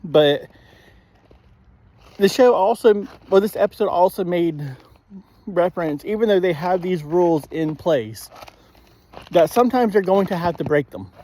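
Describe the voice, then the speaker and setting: Man, slightly high voice, slow halting delivery, frequent throat clearing, casual reviewer. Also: animated, mellow. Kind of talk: casual spoken episode review.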